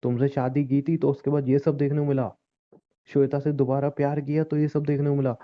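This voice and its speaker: A man's voice: medium pitch, little pitch movement, fairly quick, natural voice. Man acting as bitter, angry husband, muffled recording. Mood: wounded, resentful. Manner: curt, confrontational, repeats himself. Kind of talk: Hindi audio relationship drama, naturalistic dialogue. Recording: dull lo-fi recording.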